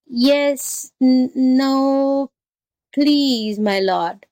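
A woman's voice: mid-range voice, slow pace, gravelly, dry recording. A woman voicing a sly storybook jackal who acts dim, muddled and plaintively confused. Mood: flustered, timid.

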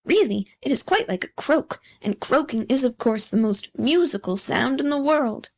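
A woman's voice: sits high, expressive, normal speed. A woman voicing a talkative, self-satisfied little male frog in a storybook reading. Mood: gushing, proud.

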